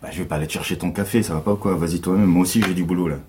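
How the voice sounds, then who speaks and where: Actor as a deep-voiced, surly office cop. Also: male voice, fairly even intonation, rapid-fire, a little mellow, slight background noise, close to an everyday voice. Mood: grumpy. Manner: casual, surly. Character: grumpy, slouchy male police office colleague. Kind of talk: scripted radio fiction acting.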